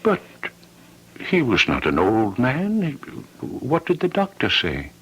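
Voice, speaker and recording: An actor playing a fussy, stern village priest, husky and hesitant, stammering and admonishing in radio drama style. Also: male voice, medium pitch, huge pitch swings, slow pace, heavily acted. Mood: flustered. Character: fussy, stern village priest hearing confession, easily flustered. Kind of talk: old-time radio drama acting.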